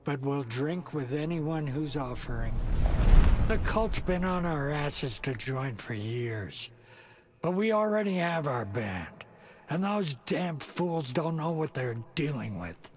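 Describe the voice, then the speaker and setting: An actor playing a weary, wry old Norse mystic in a video game, speaking cryptically and portentously. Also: male voice, fairly high pitch, expressive, takes it slowly, noisy background. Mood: wry, defiant. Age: older. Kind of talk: cinematic video game acting.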